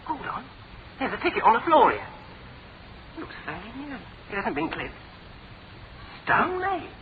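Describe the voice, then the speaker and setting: A man's voice: extremely high pitch, huge pitch swings, unhurried, crowd noise, exaggerated voice. A man playing an eager, cheeky young detective's assistant in a radio drama, with a British accent and an informal, chirpy manner. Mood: eager, surprised. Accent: British English. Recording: tinny, band-limited old radio recording, background babble.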